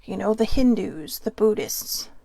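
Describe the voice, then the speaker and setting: Chatty woman host, gravelly edge. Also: mid-range voice, expressive, a little slow, mellow, no echo. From California. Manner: earnest, chatty, with frequent ums and asides. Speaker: podcast host. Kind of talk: casual monologue.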